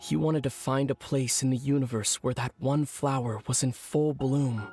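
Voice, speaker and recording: A man's voice: mid-range voice, steady tone, moderate pace, darkish tone, natural voice. Solemn young man, an actor playing a long-lost brother. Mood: wistful. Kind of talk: scripted game voice acting. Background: background music.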